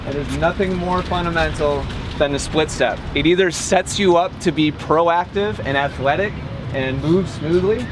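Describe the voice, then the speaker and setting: Higher-voiced male coach amid loud outdoor noise. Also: moderate pace. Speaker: tennis coach and clinic host. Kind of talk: instructional talk to a group. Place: outdoor public tennis courts.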